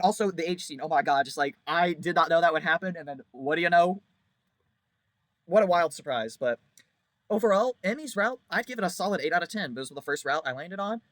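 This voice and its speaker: A man's voice: a little high, fairly bright tone, dry recording. A man giving a casual, rambling game review in a blunt, jokey, informal way, leaning on words for emphasis. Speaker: video creator reviewing a visual novel.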